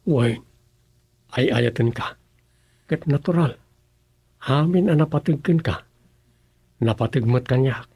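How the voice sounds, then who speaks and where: A man's voice: medium pitch, takes it slowly, pauses often, a little mellow, husky, no room sound. A man playing a grumbling family man in a radio drama comedy, with an Ilocano accent.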